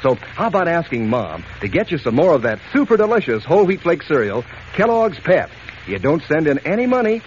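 An American man delivering a folksy, enthusiastic 1940s radio advertising pitch aimed at children. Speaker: announcer, pitchman. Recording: muffled, noisy old radio recording.

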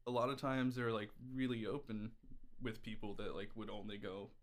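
A man's voice: fairly low pitch, flat delivery, moderate pace. A man chatting casually as a podcast guest, telling personal stories in a candid, irreverent, rambling way. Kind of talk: casual conversational storytelling.

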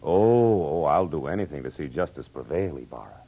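Hard-boiled American detective narrator: deep, laconic, wry, 1940s radio noir. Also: male voice, lively intonation, normal speed, slightly rough, narrow, tinny audio, full character voice. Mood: amused, sly. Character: hard-boiled male private eye, wry, world-weary. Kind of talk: 1940s radio drama acting with first-person narration. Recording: vintage 1940s radio broadcast, music underneath.

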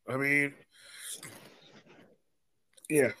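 Man, medium-pitched voice, sports podcast host, casual match breakdown, conversational. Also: fairly even intonation, extremely slow, sharp tone. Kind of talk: casual soccer match analysis.

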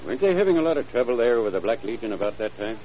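An actor playing a steady, practical police chief, matter-of-fact and authoritative, in a vintage radio crime drama. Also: male voice, mid-range voice, racing, lightly acted. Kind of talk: 1930s-40s crime radio drama acting. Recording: muffled old radio recording, faint hiss.

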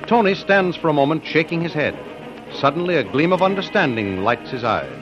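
A man's voice: a little high, lively intonation, a little fast. A man narrating and announcing a 1930s radio serial in a dramatic, declamatory style. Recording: dull vintage radio recording. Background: music.

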